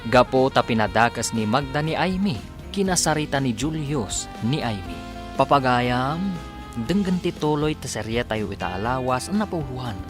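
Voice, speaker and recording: A man's voice: medium pitch, lively intonation, moderate pace, music in the background. A man with an Ilocano Filipino accent narrating a radio serial in a dramatic announcer style.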